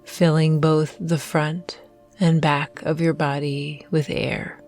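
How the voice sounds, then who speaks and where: Slow, low-pitched woman guiding meditation. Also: little pitch movement. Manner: soothing, gentle, measured with long pauses. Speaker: meditation guide. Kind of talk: guided meditation narration. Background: soft meditation music.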